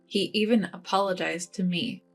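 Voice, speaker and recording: A woman's voice: on the low side, fairly even intonation, normal speed, breathy edge, soft background music. A woman narrating a scripted dramatic story.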